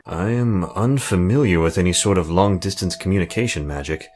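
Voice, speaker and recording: A man acting a formal, scholarly, earnest mage in a visual novel, with precise, measured wording. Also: low voice, darkish tone, faint music behind, natural voice. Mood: measured, grave.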